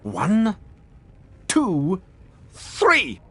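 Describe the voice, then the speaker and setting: A man's voice: high-pitched, wildly swooping pitch, drawn-out words, exaggerated voice. An actor playing a vain, theatrical male duelling teacher in a video game, pompous in manner. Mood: brisk, officious. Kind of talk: video game character acting.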